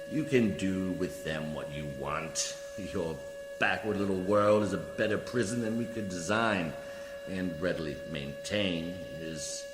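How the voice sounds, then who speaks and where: A man's voice: low voice, heavily acted. A man acting a coolly formal, condescending alien judicial official in a science fiction radio play, speaking with precise diction. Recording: echoey hall reverb, music behind.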